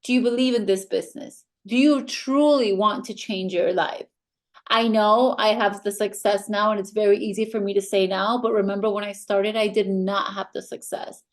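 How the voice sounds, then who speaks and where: A woman's voice: mid-range voice, steady tone, normal speed. A woman with a foreign accent giving a motivational training talk as a team mentor, emphatic and insistent.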